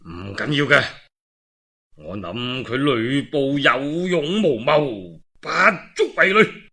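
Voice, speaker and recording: Man playing self-assured, slow Cantonese warlord. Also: mid-range voice, big pitch swings, vocal fry, sharp tone, full character voice. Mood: calm disdain. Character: confident, dismissive male warlord commander.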